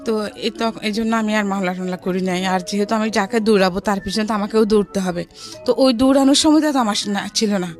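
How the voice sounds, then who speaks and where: A woman's voice: medium pitch, brisk. Middle-aged Bangladeshi woman, music behind. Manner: conversational, with English words mixed in. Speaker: interview guest telling her life story. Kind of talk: personal storytelling in conversation.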